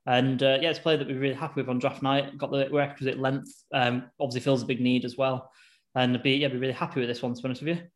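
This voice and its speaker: A man's voice: mid-range voice, flat delivery, quick pace, dry recording. A man from a team podcast, eagerly breaking down a draft pick in long, rambling runs. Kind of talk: enthusiastic sports analysis.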